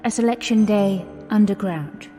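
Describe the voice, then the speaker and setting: An actress playing a nervous, exasperated young Englishwoman in a radio drama, with sharp, breathless reactions. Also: mid-range voice, moderate pace, lightly acted. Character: nervous, exasperated young woman stuck in dark archives. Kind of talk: 1920s-set radio drama acting. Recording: long echoing reverb with music underneath.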